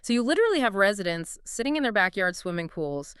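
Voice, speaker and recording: Female podcast co-host, smooth and articulate, close dry sound. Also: medium pitch, big pitch swings, quick pace, no echo. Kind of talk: scripted-sounding conversational podcast explainer.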